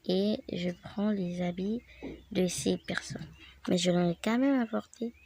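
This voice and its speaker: A woman's voice: on the low side, measured pace, gravelly, a little mellow, husky. A woman with a head cold, rambling through a casual game tutorial and dropping into whispered asides. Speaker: gaming video creator.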